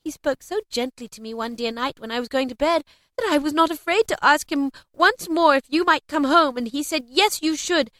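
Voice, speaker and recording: A tiny storybook little girl, very high and full of glee. She is affectionate and exclaims eagerly, close and dry on the recording. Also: normal speed, bright, forceful tone, dry recording. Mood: gleeful. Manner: breathlessly gleeful, exclaiming.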